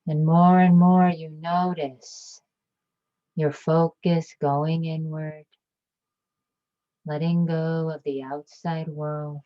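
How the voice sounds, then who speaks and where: A woman leading a guided meditation with calm, gentle, soothing instructions and long pauses between phrases. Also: low voice. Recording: dull lo-fi recording.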